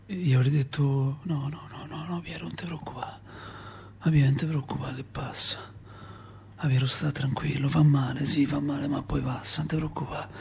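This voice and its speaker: A man's voice: on the high side, fairly even intonation, normal speed, gravelly, darkish tone, slight background noise. A male actor playing a grieving working-class Roman father, telling his story in an emotive, colloquial radio monologue with a Roman accent. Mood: grieving, tender.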